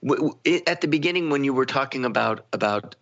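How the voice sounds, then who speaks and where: A man co-hosting a radio discussion in a conversational, reflective way, feeling out his thoughts haltingly. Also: mid-range voice, animated, gravelly, fairly bright tone. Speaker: radio show co-host. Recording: slightly dull lo-fi radio audio.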